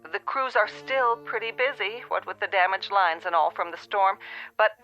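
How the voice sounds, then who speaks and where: A telephone operator in a radio drama. The woman's voice is bright and forward but band-limited by a phone effect. She is businesslike and placating, patient without being much help. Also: mid-range voice, normal speed, faint music behind. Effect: telephone filter. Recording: thin, band-limited telephone-line sound.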